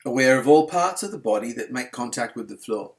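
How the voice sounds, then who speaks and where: A man with a medium-pitched voice leads a guided relaxation as a yoga instructor. He is calm and unhurried, offering each instruction gently and soothingly.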